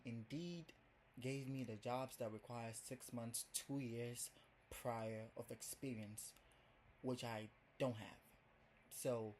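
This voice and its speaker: A man's voice: medium pitch, a little mellow, a little hiss or hum. A young man from Ghana with a Ghanaian accent, rambling casually in a first video blog, full of "like" and "um". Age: young adult. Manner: chatty, rambling, heavy on fillers. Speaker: vlogger. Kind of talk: casual first vlog to camera.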